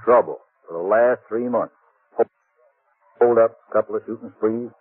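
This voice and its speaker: A man's voice: lowish voice, normal speed, slightly rough, soft background music. A man playing a determined frontier sheriff in a 1950s radio western, folksy and matter-of-fact. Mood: grim, determined. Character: plainspoken, determined frontier sheriff leading a posse. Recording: dull old 1950s radio recording.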